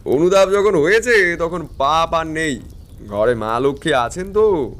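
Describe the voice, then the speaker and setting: An actor playing a shrewd, self-assured tantric fortune-teller, narrating and speaking with knowing authority in an audio drama. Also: male voice, a little high, expressive, unhurried, fairly bright tone. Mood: knowing, advising. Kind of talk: dramatised first-person story narration.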